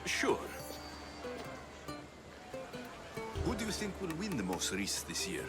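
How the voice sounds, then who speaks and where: Young spartan merc hero, curt and sardonic, mid-pitched but swings around a lot, game cutscene, music behind. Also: male voice, wildly swooping pitch, brisk. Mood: dry, curious. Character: gruff, impatient young Spartan mercenary hero.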